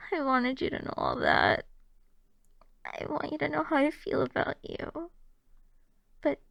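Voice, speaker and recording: A young woman playing a shy, affectionate girlfriend in an intimate ASMR roleplay, soft and hesitant, often slipping into whispers close to the microphone. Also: sits high, gravelly, no echo, full character voice. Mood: embarrassed.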